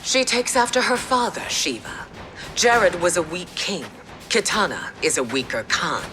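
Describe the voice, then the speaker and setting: An actress playing a ruthless, scheming empress in a video game, with an imperious, commanding and theatrical manner. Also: female voice, lowish voice, expressive, moderate pace, background noise, close to an everyday voice. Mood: imperious, scornful. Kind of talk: video game cutscene acting. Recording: loud music behind.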